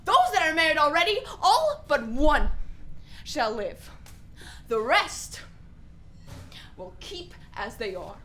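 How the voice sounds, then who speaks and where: A woman's voice: high-pitched, very animated, slow pace, pauses often, bright, forceful tone, exaggerated voice. A woman acting a bitter, mocking, volatile young prince in a Shakespearean radio play. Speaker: actor playing Hamlet.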